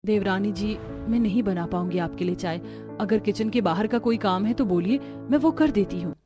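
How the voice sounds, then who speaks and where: A woman voicing a timid, polite and hesitant elder sister-in-law in an animated story. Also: medium pitch, moderate pace, music in the background, lightly acted. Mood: meek, polite.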